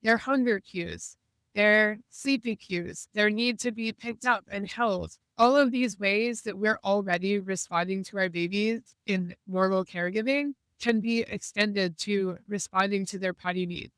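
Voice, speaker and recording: A woman hosts a podcast in an earnest, encouraging tone, her voice a little congested from a cold. The sound is close and dry, with no room echo, and she speaks from inside a car. Her tone stays smooth and clear despite the congestion. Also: medium pitch, unhurried, fairly bright tone. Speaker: podcast host. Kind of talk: casual podcast monologue. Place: in a car while driving.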